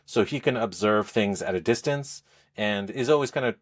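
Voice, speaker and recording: A man speaking as a podcast co-host, explaining things in a casual, halting, conversational review style. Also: on the low side. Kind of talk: casual game review chat.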